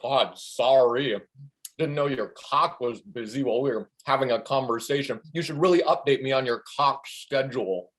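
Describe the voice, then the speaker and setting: A man playing an excitable, paranoid and spaced-out friend in a comic play reading over a video call, with theatrical delivery. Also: mid-range voice, moderate pace, natural voice. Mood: suspicious, insistent. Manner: theatrical, wildly swooping delivery. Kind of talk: comic stage script table read. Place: home, online video call.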